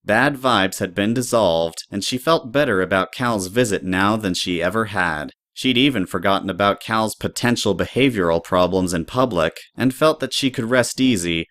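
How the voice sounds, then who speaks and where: A man's voice: on the low side, a bit bright, no echo, clean studio sound. A man reading scripted story narration as the narrator of an audio drama.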